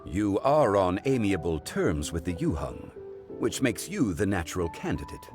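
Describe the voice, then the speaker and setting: Game sage: dignified consultant, courteous and measured, deep voice with plenty of inflection. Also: male voice, big pitch swings. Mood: calm, advisory. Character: dignified, wise, composed gentleman consultant, once mighty. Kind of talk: video game cutscene acting. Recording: background music.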